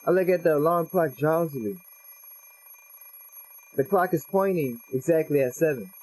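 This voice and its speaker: A man's voice: a little high, normal speed, darkish tone, low-quality recording. A man reading visual novel text aloud for a let's play, with casual slangy asides.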